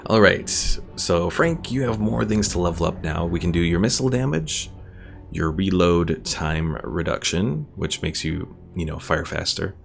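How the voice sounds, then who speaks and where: Male game streamer, very creaky low voice, casual explanatory commentary over faint game music. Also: expressive, normal speed. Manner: thinking aloud, explaining game mechanics. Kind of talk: casual live gameplay commentary.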